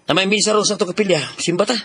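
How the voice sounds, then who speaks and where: A man's voice: high voice, steady tone, very fast, very noisy, lightly acted. An actor with a Filipino Cebuano accent playing a chatty, eager male friend in a Bisaya radio drama. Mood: eager, casual. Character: chatty, eager male buddy inviting his friend along. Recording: radio drama with loud music.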